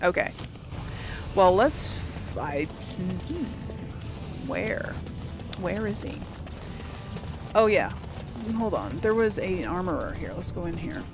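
A woman's voice: on the low side, big pitch swings, slow pace, pauses often, over music. A woman hosting a casual game playthrough, chatty and hesitant as she thinks aloud. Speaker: gaming video host. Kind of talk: casual let's play commentary.

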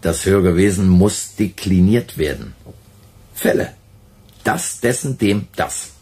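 A man's voice: sits low, expressive, slow, darkish tone, slightly rough, exaggerated voice. A man playing a wry, weary intellectual radio editor in a radio play, with a dry, understated delivery. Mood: matter-of-fact. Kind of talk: German radio play acting.

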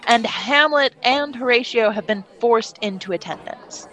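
A woman's voice: on the high side, a little slow, fairly bright tone, low-quality recording. A woman hosting a tabletop game podcast as game master in a playful, theatrical, jokey way.

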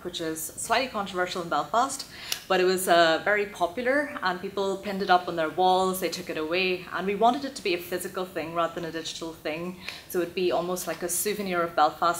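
A woman introducing her work on a conference panel, fluent and steady. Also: fairly low pitch, a little hiss or hum. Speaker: panel speaker, design event founder. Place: conference stage, large hall.

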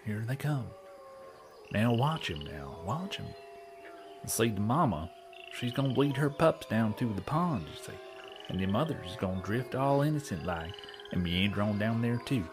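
An actor playing a folksy, earnest frontier guide with a drawling rural Western American accent in a western audio drama. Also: male voice, on the low side, very animated, moderate pace, music in the background. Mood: hushed, explaining.

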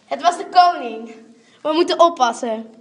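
A woman's voice: high voice, moderate pace, close to an everyday voice. A woman playing a stern, commanding female leader of a robber gang in an amateur radio play.

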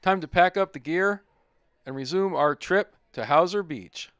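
Clear-voiced man narrating a trip. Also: fairly high pitch, a little slow, fairly bright tone, dry recording, studio quality. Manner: plain-spoken, easygoing storytelling. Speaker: overlanding vlogger. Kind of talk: recorded voice-over narration.

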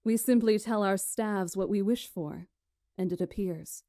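A woman playing a stately magician in a visual novel, recounting history in a measured, formal, solemn way. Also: medium pitch, mellow, dry recording, studio quality, natural voice. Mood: solemn, proud. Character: stately female magician elder recounting ancient history to lords. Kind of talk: visual novel character acting, storytelling.

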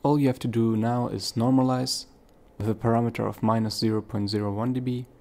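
Man, creaky fry in the voice, scripted how-to narration, tutorial narrator. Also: mid-range voice, little pitch movement, brisk, dark tone.

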